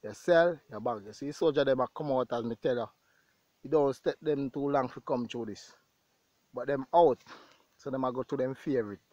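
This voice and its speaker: A dull, lo-fi phone recording with a dry, close sound carries a Jamaican man's voice. He sits at a middle pitch and chats casually to his viewers outdoors, repeating phrases to drive his points home. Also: moderate pace, darkish tone, no room sound. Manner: conversational, repeats phrases for emphasis. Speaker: goat farmer and vlogger. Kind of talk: casual vlog talk to viewers. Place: outdoors in an open grassy pasture.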